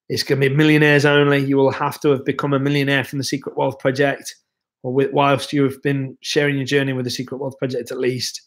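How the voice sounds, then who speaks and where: A young man hosts a relaxed livestream, rambling from one viewer comment to the next with plenty of ums and restarts. He is still ill and his voice is wearing thin. His pitch sits in an ordinary middle range. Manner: relaxed, rambling, chatty with many fillers. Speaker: livestream host. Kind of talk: casual livestream chat reading viewer comments.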